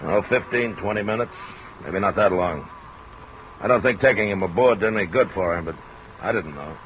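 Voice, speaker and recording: An actor playing a gruff, defensive ship's captain in a 1950s radio drama, blunt, irritable and sure of himself. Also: male voice, lowish voice, normal speed, fairly bright tone, close to an everyday voice. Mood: guarded. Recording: dull old radio recording, rain noise.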